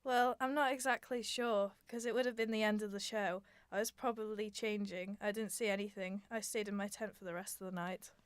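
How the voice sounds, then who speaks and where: A woman's voice: medium pitch, fairly even intonation, brisk, hardly any pauses, clear, resonant tone, no room sound, lightly acted. A woman playing a flirtatious, evasive circus acrobat in an amateur radio drama, with a coy manner. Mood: coy, defensive.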